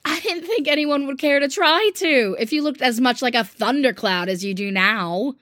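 A woman's voice: high voice, fairly bright tone, pitched above the performer's own voice. A woman reading aloud, voicing a frank, spirited young woman who coaxes and scolds a friend. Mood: coaxing. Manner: coaxing, brisk.

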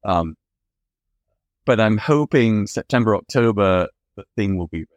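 A man's voice: fairly low pitch, expressive, normal speed, no room sound, lo-fi sound. A man appearing as a podcast guest, talking in an expansive, enthusiastic, rambling way. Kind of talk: casual interview chat.

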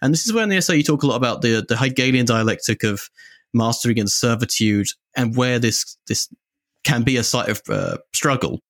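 A man's voice: medium pitch, a little fast, a bit bright, no echo, studio quality. A man hosting a podcast discussion in an academic, conversational style, building long questions while searching for his phrasing. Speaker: podcast interviewer.

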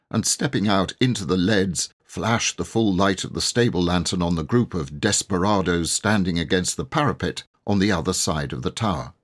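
A man reading a children's story aloud as an expressive, scripted narration. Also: deep voice. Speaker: audiobook reader.

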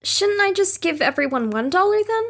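A woman's voice: very high-pitched, big pitch swings, moderate pace, exaggerated voice. A woman playing a kind, eager young girl in an audio drama. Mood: eager, practical.

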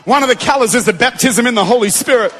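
Fervent, high-voiced male preacher. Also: quick pace. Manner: fervent, building to shouted declarations. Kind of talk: Pentecostal sermon. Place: large church before a congregation. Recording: long reverb of a big hall.

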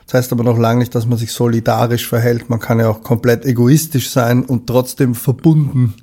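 A man talks in a relaxed-format, casual chat with an Austrian accent. His voice is of middling pitch, and he comes across as a musician in conversation. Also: a little fast.

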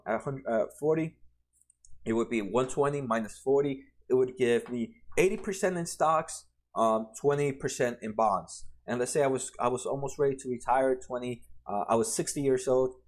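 A man's voice: medium pitch, moderate pace. A man in his twenties explaining retirement funds over slides in a casual way, often restarting and adding "right?". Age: 20s. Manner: conversational, many restarts and "right?" tags. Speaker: personal finance explainer.

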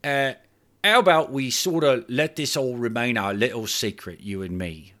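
A man's voice: mid-range voice, moderate pace, a bit bright, pitched above the performer's own voice, lightly acted. A man voicing a nervous, sheepish male hotel attendant, wheedling and hesitant. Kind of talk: audiobook character voice.

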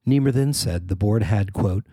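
A man's voice: fairly low pitch, moderate pace, warm tone, a little hiss or hum. A man reading a scripted news report as its reporter and narrator.

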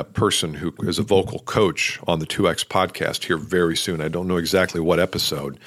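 A middle-aged man with a fairly low voice hosts his own podcast and delivers a solo talk. He speaks loudly and emphatically, pressing his points like a coach. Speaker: podcast host.

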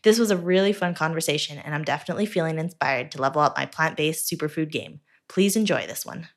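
A woman hosts a podcast in an upbeat, enthusiastic manner, her voice a little lower than most. She reads a polished introduction and then moves into a friendly interview. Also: fast talker. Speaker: podcast host.

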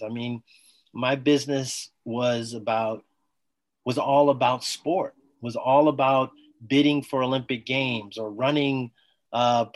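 A man, a former athlete, talking as a guest on an interview podcast, telling his story with enthusiasm in quick bursts. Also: medium pitch. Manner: enthusiastic, talks in bursts, acts out quoted dialogue.

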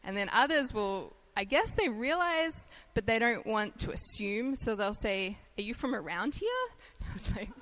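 A woman with an Australian accent giving a conversational sermon, chatty and quick to laugh at herself. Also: a little high, lively intonation, a bit bright, poor audio. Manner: chatty and self-deprecating, laughs easily. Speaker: guest preacher.

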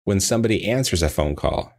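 Low-pitched man reading instructional narration. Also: expressive, fast talker, a little mellow. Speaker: dental practice trainer.

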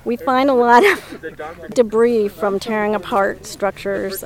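A woman's voice: medium pitch, takes it slowly. A middle-aged or older woman from Minnesota, an archaeological surveyor explaining things informally in an interview on site.

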